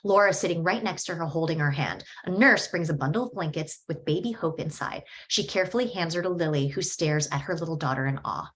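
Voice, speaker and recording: A woman reading screenplay stage directions at a table read in a steady, descriptive way. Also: low-pitched, fast talker, hardly any pauses, a little hiss or hum.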